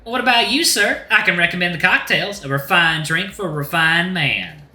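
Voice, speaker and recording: A man's voice: high voice, animated, normal speed, pushed and bright, echoey room, soft background music, voice pushed up, exaggerated voice. A man voicing a flamboyant, sassy rooster drag queen bar owner with a folksy, effusive Southern American accent. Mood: sassy, welcoming. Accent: put-on American Southern. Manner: folksy, effusive, uses terms of endearment.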